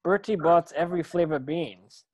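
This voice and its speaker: A shy young wizard boy, read by an adult actor at a script table read. The delivery is polite and a little hesitant, at a middling pitch. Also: moderate pace, darkish tone, husky, natural voice, poor audio. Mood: curious. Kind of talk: online table read of a screenplay.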